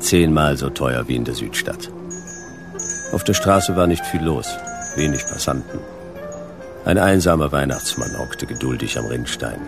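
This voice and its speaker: A man playing a laconic, skeptical private detective, clipped and deadpan, in a noir radio play. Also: a bass voice, measured pace, over music, full character voice. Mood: deadpan narrating. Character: laconic, dry, skeptical hard-boiled male private detective.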